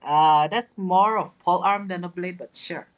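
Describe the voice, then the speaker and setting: A woman streaming a game with casual, halting, joking commentary, talking back to the characters. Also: fairly low pitch. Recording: game music behind.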